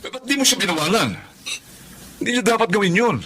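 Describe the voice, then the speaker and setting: A man's voice: sits high, lively intonation, quick pace, pushed and bright, slight background noise. A man with a Filipino accent playing an indignant, hard-hearted, well-off husband in a radio drama, grumbling and scolding.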